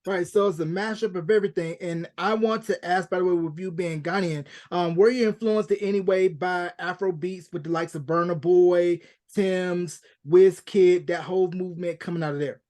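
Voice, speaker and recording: A man's voice: high-pitched, fairly even intonation, moderate pace, few pauses, a little mellow. A man hosting a music podcast, putting questions to his guest in a conversational way. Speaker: podcast host, interviewer.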